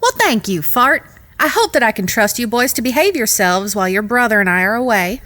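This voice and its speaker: A woman playing a polite, genteel frontier lady, gently admonishing, in a Western audio drama. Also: a little high, lively intonation, brisk.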